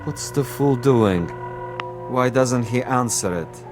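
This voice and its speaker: An actor playing a cunning, theatrical commissar in a radio drama, suave, precise and condescending, with an imperious air. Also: male voice, medium pitch, slow, music in the background, close to an everyday voice. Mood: agitated, furious. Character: cunning, theatrical commissar, a patient, gloating assassin.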